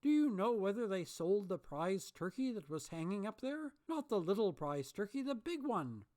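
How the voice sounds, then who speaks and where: A reader voicing a remorseful old miser who turns giddy, pleading and exclaiming at a lifted pitch. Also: very animated, normal speed, a little mellow, lightly acted. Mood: jolly. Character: old miser, repentant and overjoyed.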